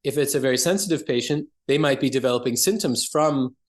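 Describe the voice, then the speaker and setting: A man's voice: medium pitch, fast. A man speaking as an interview guest, explaining things conversationally and earnestly as a practitioner.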